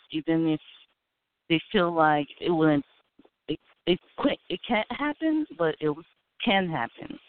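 A woman's voice: deep voice, smooth. A woman with a Haitian accent answering interview questions in a hesitant way, with many fillers and restarts. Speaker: interview guest, aspiring model. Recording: phone-like narrow sound.

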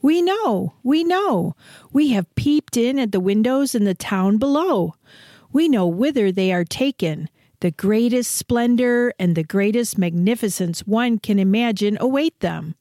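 Woman as a slow, wondering little storybook sparrow. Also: a little high, animated, dark tone, no echo, clean studio sound. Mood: awed excitement. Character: chirping little sparrows, marvelling and proudly knowing. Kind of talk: dramatic storybook reading.

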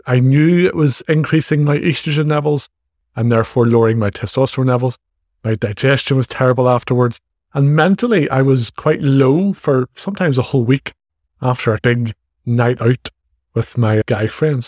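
A man's voice: medium pitch, darkish tone, no room sound, studio quality. A man in his forties with an Irish accent, hosting his own podcast in a frank, chatty way. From Ireland. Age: 40s. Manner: frank, chatty, self-deprecating. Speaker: podcast host. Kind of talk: casual solo podcast monologue.

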